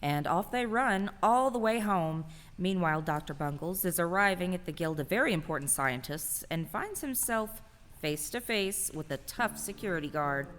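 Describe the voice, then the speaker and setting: A woman's voice: lowish voice, lively intonation, normal speed, fairly bright tone, a little hiss or hum. A woman narrating a radio play in a storytelling style. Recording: reverberant hall sound.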